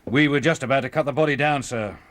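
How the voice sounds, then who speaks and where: An actor playing a respectful, plain-spoken police inspector with an English accent in a radio drama, stolid and deferential. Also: male voice, medium pitch, fast, fairly bright tone, lightly acted. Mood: businesslike.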